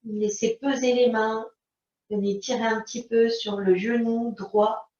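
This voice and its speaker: A woman leads a yoga class in a very slow, fairly high voice. She gives calm instructions in short, spaced phrases. The recording is roomy and picked up from well away from the microphone. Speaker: yoga teacher. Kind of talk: guided yoga and relaxation instruction. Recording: roomy, distant mic, dull sound.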